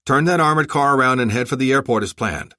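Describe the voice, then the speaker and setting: A man voicing a gruff, curt and menacing soldier in an armored suit, in an audiobook. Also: medium pitch, quick pace, bright, forceful tone, dry recording, studio quality, voice pushed up, close to an everyday voice. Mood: curt, stern. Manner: curt, commanding.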